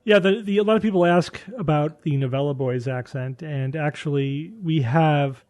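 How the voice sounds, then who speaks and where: Casting: podcast host, man, Southwestern Connecticut accent, rambling chatty delivery, slightly high voice, dry close mic. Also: moderate pace, a little mellow, no room sound. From Connecticut, USA. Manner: rambling, chatty, restarts often. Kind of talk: casual panel chat.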